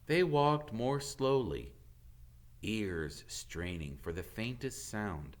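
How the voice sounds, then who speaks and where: A man's voice: on the low side, big pitch swings, takes it slowly. A man reading a storybook aloud as a teacher would, in dramatic narration.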